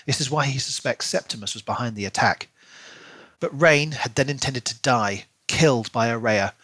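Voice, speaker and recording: Low-pitched man, dry close sound. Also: sharp tone, husky, dry recording. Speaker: podcast co-host. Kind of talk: book discussion podcast, reading a plot recap then casual chat.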